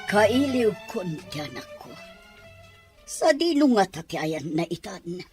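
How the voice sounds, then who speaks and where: A woman's voice: medium pitch, very animated, a little slow, soft background music. A woman playing an older, heavy-hearted motherly figure in a Filipino audio drama. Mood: indignant.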